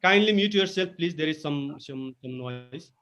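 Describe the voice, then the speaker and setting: A man with a Nepali accent leads a public speaking webinar over a video call. His voice sits notably high for a man. He presses his points home emphatically and repeats them. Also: lively intonation, normal speed, a bit bright, dull audio. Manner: emphatic, repetitive teaching delivery with code-switching. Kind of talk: webinar lecture.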